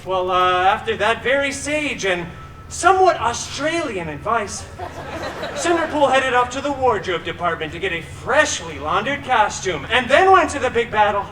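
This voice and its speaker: Flippant antihero, very high male voice, theatrical comic storytelling, campy asides, echoey, far from mic, background music. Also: big pitch swings, moderate pace, pushed and bright, slight background noise, full character voice. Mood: cheeky. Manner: flippant, campy, mock-dramatic asides to the audience. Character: cheeky, theatrical male antihero telling his own fairy tale. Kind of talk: live comic storytelling show. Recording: echoey theme park PA, distant, music behind.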